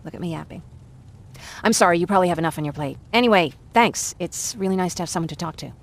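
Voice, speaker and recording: An actress as a young female survivor in a war game. Her voice is on the low side, and she talks in a candid, chatty, naturalistic way. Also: fast talker, lightly acted. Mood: wistful, chatty. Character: earnest, talkative young woman, war survivor. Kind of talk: naturalistic video game acting.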